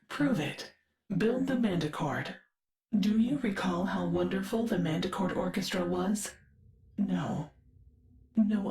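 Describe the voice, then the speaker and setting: A woman playing a curious, forgetful artificial mind in a video game, an archivist who speaks formally and precisely with measured detachment. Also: medium pitch, darkish tone, roomy sound, natural voice. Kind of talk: scripted video game character lines.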